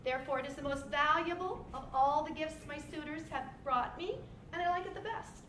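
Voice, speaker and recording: A woman's voice: sits high, brisk, fairly bright tone, echoey room, recorded from a distance, a little hiss or hum. A woman playing a spoiled, materialistic princess who reasons matter-of-factly in a staged reading. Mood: smug, reasoning. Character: materialistic spoiled princess, calculating and self-satisfied.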